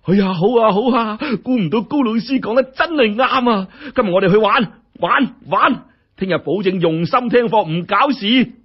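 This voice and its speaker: Man playing an excitable, mischievous young rich boy. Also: sits high, normal speed, breathy edge, lo-fi sound, voice pushed up. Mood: gleeful. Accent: Hong Kong Cantonese. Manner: conspiratorial, eager, colloquial.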